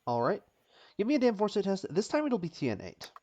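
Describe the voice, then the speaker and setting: Halting male game master, dull lo-fi sound. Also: sits high, wildly swooping pitch, dark tone. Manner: halting, searching for words, repeating phrases. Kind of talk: improvised tabletop game narration.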